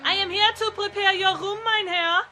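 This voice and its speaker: A woman's voice: extremely high pitch, fairly even intonation, moderate pace, bright, forceful tone. A woman playing a polite but fervently nationalistic German hotel maid, with a German accent and a pointed, insistent manner, in vintage television drama style. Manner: deferential yet insistent, pointed. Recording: old TV soundtrack with music. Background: dramatic music.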